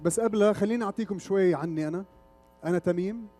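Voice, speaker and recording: A man's voice: high voice, rapid-fire, mellow, faint music behind. A middle-aged man, an Arabic speaker, giving an informal, interactive stage presentation. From Lebanon, grew up in Saudi Arabia. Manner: informal, switches between Arabic and English. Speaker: conference speaker, media executive.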